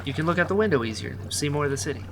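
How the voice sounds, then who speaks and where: A woman playing a gentle, caring rebel who talks softly and stammers when uneasy. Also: sits low, expressive, racing, gravelly, background noise. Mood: hesitant. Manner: soft, hesitant, stammering. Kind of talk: audio drama acting.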